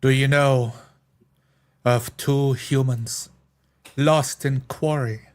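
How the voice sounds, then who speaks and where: A man playing a stern, watchful adventurer in a tabletop roleplay, speaking in terse, deliberate phrases. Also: mid-range voice, steady tone, clear, resonant tone, natural voice. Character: stern, watchful hawk-eyed adventurer of few words. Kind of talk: tabletop roleplay, narrating own character.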